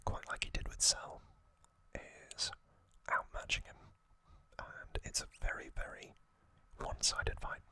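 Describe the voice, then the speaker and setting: A man speaking in a breathy, unvoiced whisper, telling trivia in a soothing, halting ASMR style. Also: sharp tone, dry recording. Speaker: ASMR creator, host.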